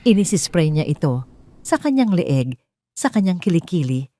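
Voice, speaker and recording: A woman narrates a scripted first-person audio drama with a dramatic, bitter edge. Her voice is low for a woman and very lively in pitch, rising and falling as she tells the story. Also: moderate pace.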